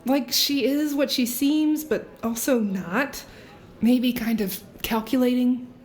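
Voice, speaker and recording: A woman's voice: on the high side, slow, a little mellow. A woman playing a wry, skeptical young woman. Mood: musing. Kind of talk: audio drama acting.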